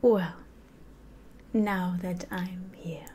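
A woman's voice: on the low side, steady tone, drawn-out words, mellow, slight background noise. A young woman playing a sly, grandiose demon ruler in an ASMR roleplay, mostly whispering theatrically close to the microphone. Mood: grandiose, scheming. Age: 20s.

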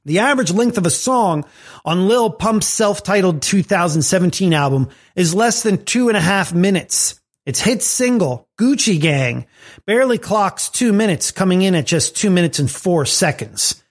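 A man's voice: sits high, lively intonation, moderate pace. A man hosting a podcast, reading scripted commentary with wry asides. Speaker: podcast host. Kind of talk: scripted commentary on tech and music.

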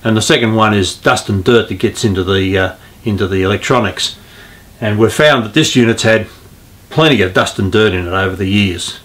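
Man, 50s, hobbyist tutorial host, lowish voice, informal and halting delivery. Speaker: host of an amateur radio hobby video. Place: small indoor radio room at home.

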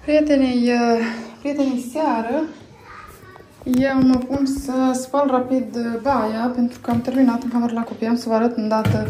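A fairly high-voiced woman in her thirties from Romania talks chattily into her phone while tidying and cleaning her home. Her narration is relaxed and unscripted, with frequent pauses and fillers as she moves from one chore to the next. A faint hiss of noise sits behind her voice. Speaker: family vlogger. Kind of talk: casual clean-with-me vlog narration. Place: family home, indoors. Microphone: phone camera.

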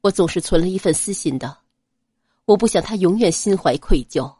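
A woman voicing a cold, restrained young nobleman in an audiobook, quiet, grave and measured. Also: on the low side, lively intonation, husky, no echo. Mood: quiet, guarded. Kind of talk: dramatized audiobook character acting.

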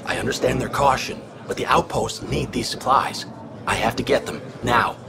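A man playing a businesslike, impatient supply pilot in a science fiction game, with a gravelly, terse delivery. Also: mid-range voice, normal speed, background noise. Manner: terse, matter-of-fact, insistent. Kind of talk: video game dialogue acting.

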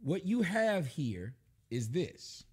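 Man in his 40s, stream host, loud mocking rant, lively pitch. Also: slow pace, slightly rough. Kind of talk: ranting commentary on live streams. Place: home room with a fireplace.

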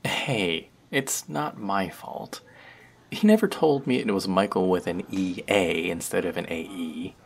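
A man's voice: sits low, animated, measured pace, vocal fry, slight background noise, exaggerated voice. A man playing a chatty young barista who teases and rambles in a friendly way, in a scripted audio roleplay. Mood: rambling. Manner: playful, rambling, teasing.

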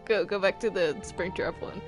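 A gamer chatting casually during a video game roleplay, excitable and stammering, often repeating words. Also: medium pitch, normal speed, over music, poor audio. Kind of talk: casual gameplay chat during Minecraft roleplay.

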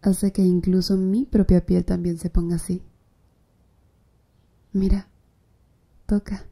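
A seductive female tattoo artist acted by a woman in an ASMR roleplay, teasing the listener in a breathy murmur. Her voice is very dark and mellow, husky, and unhurried. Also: lowish voice, flat delivery, heavily acted. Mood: seductive, intimate. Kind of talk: Spanish-language ASMR roleplay.

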